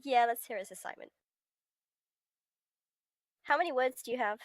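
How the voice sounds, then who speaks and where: A woman's voice: a little high, moderate pace, dry recording, clean studio sound. A woman reading visual novel text aloud in a casual, amused, chatty way on a stream.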